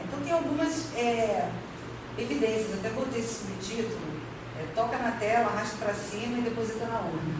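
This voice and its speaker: A Brazilian woman giving an explanatory academic presentation as a researcher, often rephrasing and checking in with short agreement tags. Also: a little high. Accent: Brazilian Portuguese. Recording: very echoey room, distant mic, clear background noise.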